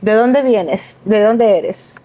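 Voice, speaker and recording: A woman hosting a podcast, asking encouraging, conversational questions with lively reactions. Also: mid-range voice, takes it slowly. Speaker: podcast host, interviewer. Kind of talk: casual conversational interview.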